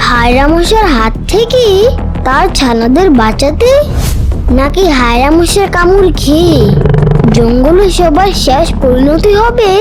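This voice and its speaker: A woman's voice: high voice, animated, a little slow, over loud music. A woman reading dramatic scripted narration for a children's cartoon story.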